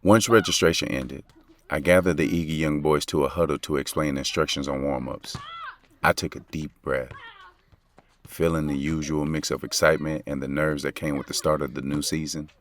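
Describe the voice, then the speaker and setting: A man's voice: a bass voice, fairly even intonation, normal speed. A male actor with an American accent playing a lovestruck, regretful basketball coach who confides in listeners in slangy, conversational audio drama narration. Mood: wistful. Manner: slangy, confessional, intimate. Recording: music playing under the voice. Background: music.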